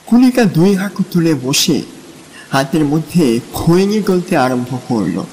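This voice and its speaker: A man narrating a literary story in a measured, dramatic style. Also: a little high, sing-song, darkish tone, breathy edge. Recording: long reverb, music and rain behind.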